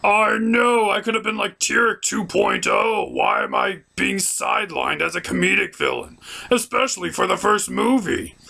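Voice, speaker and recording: A man plays a goofball villain king for a puppet comedy. The voice is very gravelly and high, sulky and blustering in its delivery. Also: normal speed, sharp tone, full character voice. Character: whiny, blustering goofball male storm tyrant. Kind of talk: comic puppet show voice.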